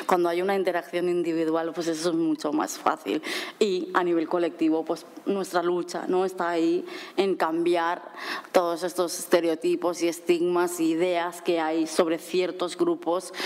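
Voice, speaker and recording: A woman's voice, pitched a little low, unfolds slowly at a conference panel. She was born in Nepal and raised in Spain, and she talks in a measured, reflective way, with many pauses and small questions tossed to the listeners. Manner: measured, thoughtful, many hesitations and tag questions. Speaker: panel speaker.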